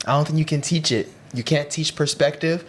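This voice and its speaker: A man's voice: fairly high pitch, steady tone, brisk, a bit bright. A man hosting a podcast, chatting casually with brief reactive remarks. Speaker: podcast host. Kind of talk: casual interview chat.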